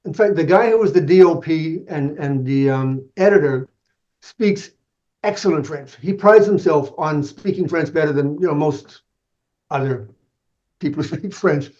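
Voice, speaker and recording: A man who makes documentaries, speaking as a podcast guest in a measured, explanatory way. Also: a little high, darkish tone, highs cut off. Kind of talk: interview answers.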